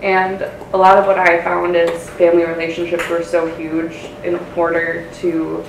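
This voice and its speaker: Low, creaky young woman, distant and echoey. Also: completely flat delivery, normal speed. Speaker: audience member. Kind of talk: spontaneous question from the audience. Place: lecture room audience, far from mic. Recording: distant, echoey room mic with noise.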